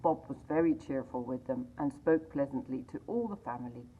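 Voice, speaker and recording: A woman reading a classic story aloud in a measured, expressive way. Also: a bass voice, a little hiss or hum. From England. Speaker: library reader. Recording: tinny phone-like sound.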